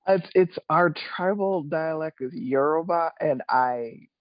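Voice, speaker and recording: A man hosting a podcast, asking measured, thoughtful interview questions. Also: a little high. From USA. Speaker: podcast host. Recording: dry, dull lo-fi recording.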